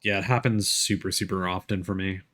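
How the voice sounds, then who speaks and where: A man's voice: deep voice, fairly even intonation, brisk, a bit bright, a little hiss or hum. A man chatting casually as he streams a video game. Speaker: livestreamer playing a video game. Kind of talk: casual livestream chat over gameplay.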